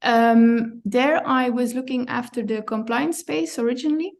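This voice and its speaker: A woman from Belgium, a compliance director, talking through her work in a relaxed interview, often pausing and correcting herself with small laughs. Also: fairly high pitch, gravelly. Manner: thoughtful, self-correcting, light laughs. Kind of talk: conversational interview.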